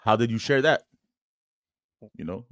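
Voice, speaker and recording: A man's voice: lowish voice, lively intonation, a little slow, a bit bright, no room sound. Slangy New York man on a dry podcast. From New York City. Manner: slangy, jokey, peppered with "bro" and "yo". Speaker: podcast co-host. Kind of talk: casual sports podcast chat.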